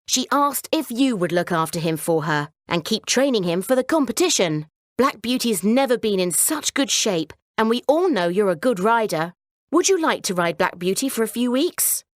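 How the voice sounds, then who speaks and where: A woman voicing a brisk, kindly female stable yard manager in a children's storybook. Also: a little high, very animated, dry recording, clean studio sound, voice pushed up. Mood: encouraging.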